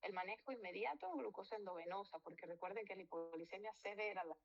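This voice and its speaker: Gravelly-voiced woman doctor lecturing. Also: mid-range voice, fast, muffled recording. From Venezuela. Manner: informal, with fillers and self-corrections. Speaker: physician lecturer. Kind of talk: medical lecture.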